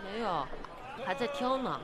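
An actress playing a meek, earnest young opera apprentice in a radio drama, polite, youthful and eager in manner. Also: female voice, medium pitch, sing-song, measured pace, crowd noise, background noise.

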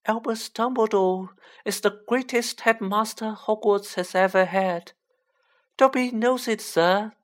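A woman voicing a small male house-elf for a fantasy audiobook, a squeaky, humble, anxiously devoted servant who pleads with drawn-out emphasis. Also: lightly acted. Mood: earnest, anxious. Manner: pleading, drawn-out, emphatic delivery in third person. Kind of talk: children's fantasy audiobook character voice.

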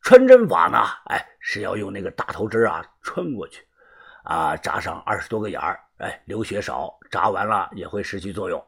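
Man voicing menacing gang boss, low, husky voice. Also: big pitch swings, moderate pace, heavily acted. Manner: gruff, low, swaggering.

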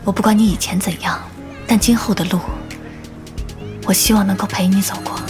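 A woman playing a caring, resolute professional woman in love in a Chinese audio drama, gently firm and reassuring. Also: mid-range voice, steady tone, a little fast, lightly acted. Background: prominent background music.

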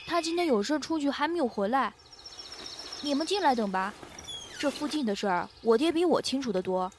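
Woman doing a eager village boy, high boyish voice, costume audio drama, slight outdoor noise. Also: lively intonation, a little fast. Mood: welcoming. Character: friendly, modest country boy living in the woods. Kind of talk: Chinese costume radio drama acting. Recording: faint background noise, outdoor nature ambience.

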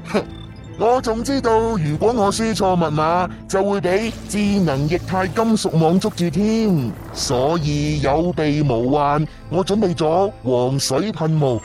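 An actor playing a smug, mocking master thief in a radio drama, sneering and taunting. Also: male voice, a little high, expressive, a little slow, darkish tone, soft background music. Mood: gloating menace. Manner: sneering, taunting, theatrical laughs.

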